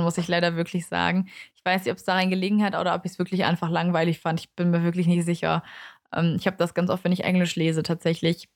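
A young adult woman, a native German speaker, giving a casual, chatty book review in an informal, candid way, often correcting herself. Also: fairly low pitch.

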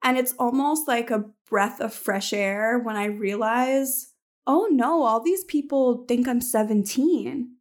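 A woman's voice: fairly high pitch, slow pace. A woman in her thirties talking casually about acting, rambling and filling her sentences with "like". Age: 30s. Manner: rambling, heavy use of "like". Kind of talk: casual chat.

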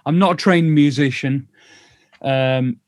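A man's voice: mid-range voice, dry recording, studio quality. An older man in his sixties with a Northern English accent, hosting a chatty, rambling interview over a video call. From England. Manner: chatty, rambling, quick to laugh.

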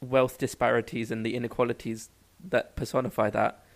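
A man's voice: mid-range voice, flat delivery, fairly quick, gravelly. A young man in his twenties with a London accent, chatting casually as a podcast co-host, joking and cutting himself off mid-sentence. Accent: London English. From England. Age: 20s. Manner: rambling, joking, self-interrupting. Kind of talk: casual chat.

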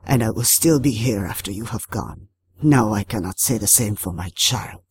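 Storybook water spirit, acted by a woman, deep female register, slow, solemn, vengeful, formal. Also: animated, slightly rough, exaggerated voice. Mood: cold, menacing. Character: ancient vengeful water spirit, calm, menacing, grieving.

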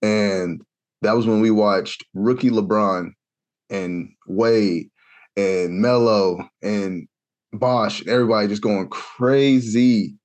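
A man's voice: on the low side, slow. Man telling a story on a podcast. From Kansas. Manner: animated, acts out quoted voices. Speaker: podcast co-host. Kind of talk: casual storytelling.

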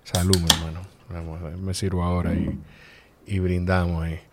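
A man hosting a casual interview, relaxed and leaning on short replies. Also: low-pitched, moderate pace, very noisy. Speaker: podcast host.

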